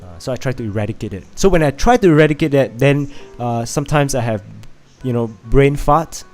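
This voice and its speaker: A man's voice: mid-range voice, lively intonation, a little fast, darkish tone, soft background music. A man from Vietnam with a Singaporean English accent, hosting a casual live stream and chatting with viewers, laughing often and filling gaps with "uh" and restarts. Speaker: livestream host.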